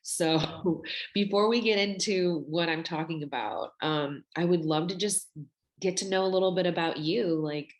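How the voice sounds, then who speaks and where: Low-voiced woman hosting, slow and deliberate. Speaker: podcast host. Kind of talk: interview hosting.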